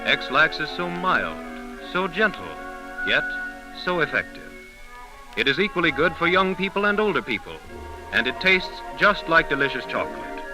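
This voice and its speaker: Man, vintage radio announcer, lively intonation, scripted sales delivery, music behind. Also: fairly high pitch, normal speed, rough recording. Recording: vintage 1930s radio recording.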